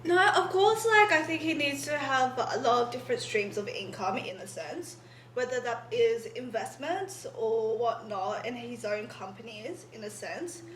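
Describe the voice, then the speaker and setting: Young woman guest, 20, high bright voice, meandering filler-laden answers, roomy echo. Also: normal speed, a little hiss or hum. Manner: rambling, filler-heavy, says "in a sense" a lot. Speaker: podcast guest. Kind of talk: casual podcast chat. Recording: echoey room.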